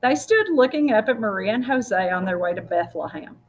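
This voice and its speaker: A woman with a slightly husky voice reads a picture book aloud, speaking directly to her listeners as a storyteller. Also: mid-range voice, lively intonation, brisk, slight background noise. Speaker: story reader.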